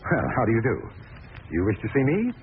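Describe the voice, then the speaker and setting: An actor playing a suave, sinister villain who poses as a kindly doctor, speaking formally with mocking politeness in an old-time radio drama. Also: male voice, mid-range voice, wildly swooping pitch, brisk. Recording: scratchy, tinny vintage radio recording.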